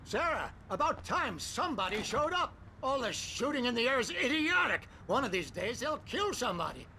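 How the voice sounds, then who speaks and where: A man's voice: very high-pitched, very animated, moderate pace, pushed and bright, a little hiss or hum, heavily acted. A man playing a cranky small-town doctor in a video game, grumbling and scolding. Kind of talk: video game dramatic acting.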